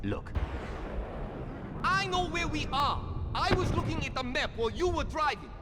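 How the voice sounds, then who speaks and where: An actor playing a nervous, excitable enemy soldier in a video game. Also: male voice, normal speed. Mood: irritated. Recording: loud game ambience and rumble.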